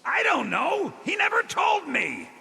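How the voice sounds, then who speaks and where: A man playing an irritable, defensive mage in a fantasy video game, snappish and exasperated, with a strained rasp. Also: very high-pitched, huge pitch swings, measured pace, bright, forceful tone, shouting, full character voice. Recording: long hall reverb.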